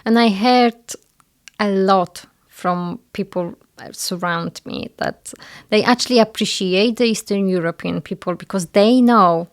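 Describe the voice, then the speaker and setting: A woman's voice: medium pitch, unhurried. A woman in her thirties with a Polish accent, a business founder speaking earnestly as a guest in a conversation. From Poland. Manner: earnest, reflective. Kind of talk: casual interview conversation.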